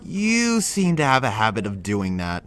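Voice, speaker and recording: A man's voice: lowish voice, wildly swooping pitch, moderate pace, fairly bright tone. A man playing a blunt but well-meaning friend in an audio drama, casual, incredulous and chiding. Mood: exasperated, chiding. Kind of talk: conversational audio drama acting.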